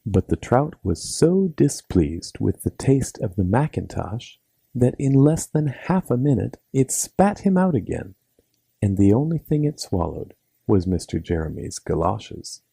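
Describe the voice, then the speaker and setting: Male storyteller for children's bedtime tales: low, gravelly, scripted narration, recorded dry. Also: sing-song, a little slow, mellow, dry recording.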